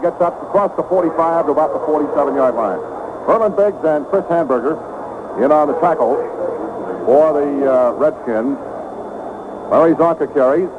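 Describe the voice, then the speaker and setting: Radio play-by-play man, highish voice, brisk calls, old tinny broadcast with steady hiss. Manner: brisk, rising excitement on big plays. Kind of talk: live football play-by-play.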